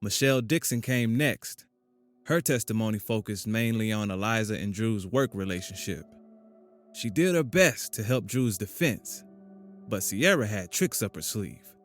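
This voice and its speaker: A man narrating an audio drama with an even, storytelling delivery. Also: lowish voice, normal speed, soft background music. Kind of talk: scripted narration.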